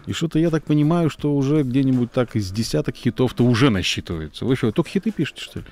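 A man hosting a radio studio interview, asking quick teasing questions. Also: mid-range voice, lively intonation, a little mellow. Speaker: radio host. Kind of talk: casual interview.

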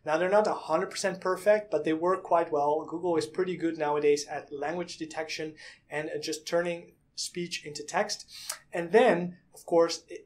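A man in his thirties talks through a software demo in plain, steady steps, pausing often on "uh" and "um". His voice sits a touch high for a man. He records in a small room on a desk webcam. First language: Dutch. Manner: explanatory, step-by-step, with frequent "uh" and "um". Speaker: product reviewer. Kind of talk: screen-share software walkthrough.

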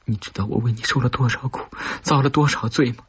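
An obsessive, lovesick woman of a suspense audiobook, acted out by a man, implores in a very breathy, whispery voice. The pitch is raised above a man's usual talk. The sound is slightly dull. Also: brisk. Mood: desperate, pleading. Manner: imploring, intense. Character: obsessive, lovesick, pleading woman who has killed.

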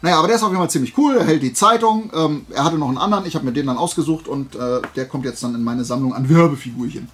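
A man's voice: on the high side, very fast, few pauses, a bit bright. A man chatting casually and unscripted to viewers as a video host, enthusiastic, colloquial and rambling. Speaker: video host showing collectible finds.

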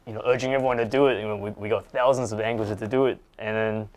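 A man's voice: on the low side, fairly even intonation, fast, darkish tone. A man in his forties hosting a casual conversation in an office, agreeable and often repeating his words. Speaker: interviewer, host. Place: small office, indoors.

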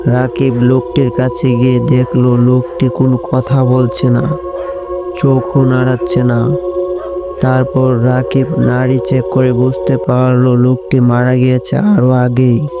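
Slow male horror narrator over loud music. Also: medium pitch, completely flat delivery. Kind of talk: scripted horror story narration.

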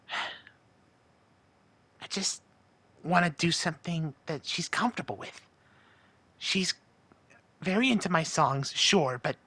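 A man's voice: fairly high pitch, pushed and bright, no room sound. A man voicing a nervous, lovestruck cartoon imp husband who rambles in an anxious, hesitant way. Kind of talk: in-character fan monologue in cartoon style.

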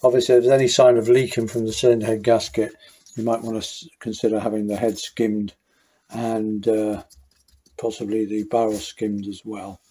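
A man's voice: on the low side, moderate pace, slightly rough, rough recording. Man talking through an engine rebuild. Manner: thinking aloud, relaxed, with many fillers and restarts. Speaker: motorcycle mechanic. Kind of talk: hands-on workshop commentary.

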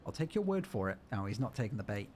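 A man's voice: fairly low pitch, big pitch swings, quick pace, a little mellow, slight background noise. A man streaming a video game, joking and reading the on-screen text aloud as he plays. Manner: playful, jokey, reads game text aloud. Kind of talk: let's-play commentary.

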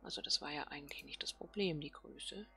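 A woman giving casual game commentary, musing softly and half whispering to herself. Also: sits low, fairly quick, gravelly. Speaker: let's player.

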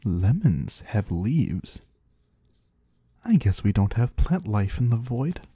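A mysterious disembodied trader from the void, played by a man, speaks slowly in a husky, breathy hush. His pitch drifts up and down with courteous curiosity, as in an intimate ASMR roleplay. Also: big pitch swings, dry recording, exaggerated voice. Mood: curious, pleased. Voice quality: husky, breathy, sometimes whispering. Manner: slow, hushed, gently curious. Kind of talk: soft-spoken ASMR roleplay. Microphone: close, dry microphone.

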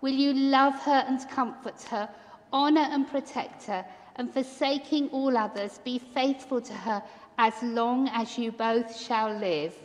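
A woman officiating a church wedding, her voice set a little high and delivered very slowly. Each phrase is spaced out for the congregation, and the long stone-church reverb trails after every line. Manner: warm welcoming, leading vows phrase by phrase. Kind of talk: wedding liturgy, slow and measured.

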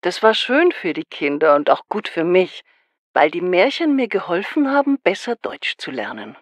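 A woman playing a calm radio caller in a scripted language-course dialogue. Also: on the low side, moderate pace, no room sound. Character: woman caller who moved from abroad.

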